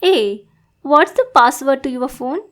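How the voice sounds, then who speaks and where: Woman acting a sweet, high-voiced yandere bodyguard. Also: animated. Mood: sweetly bossy. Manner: sweet, adorkable, slow, gushing then backpedalling. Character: obsessive, lovestruck young female bodyguard, sweetly bossy and possessive. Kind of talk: acted audio roleplay addressed to listener.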